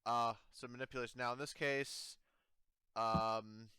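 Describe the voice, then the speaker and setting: A man's voice: medium pitch, fairly even intonation, a little slow, pushed and bright, clean tone, no echo, studio quality. A man acting as game master, talking casually and thinking aloud through the rules, with some swearing. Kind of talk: casual tabletop roleplaying game talk.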